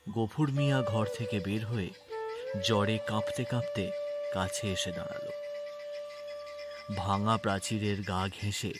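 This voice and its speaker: Casting: male Bengali narrator, lowish voice, measured dramatic storytelling over clear music. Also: steady tone. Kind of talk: scripted literary story narration.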